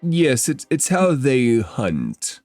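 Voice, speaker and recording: A man voicing a very large, stern bluish humanoid who gives grave warnings slowly and deliberately in a tabletop game. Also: medium pitch, big pitch swings, a little mellow, no echo, soft background music.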